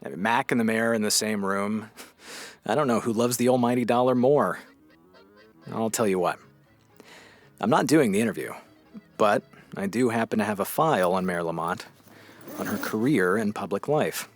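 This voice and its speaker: A man's voice: lowish voice, normal speed, pauses often, soft background music, natural voice. A man playing a tired, sardonic radio newsman in a comedy audio drama, grouchy but supportive, with a wry, rambling way of talking. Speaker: radio journalist.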